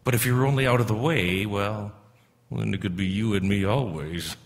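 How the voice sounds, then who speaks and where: An actor playing an anxious, shifty financial adviser with a nervous, halting delivery in an old-time radio drama. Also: male voice, on the low side, natural voice. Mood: nervous, scheming. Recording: reverberant stage hall.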